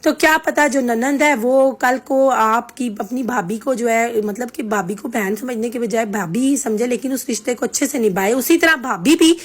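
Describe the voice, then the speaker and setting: A hoarse woman with a sore throat, vlogging casually and earnestly giving her opinion straight to her viewers. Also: fairly high pitch, normal speed, few pauses. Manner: chatty and earnest, addressing viewers directly.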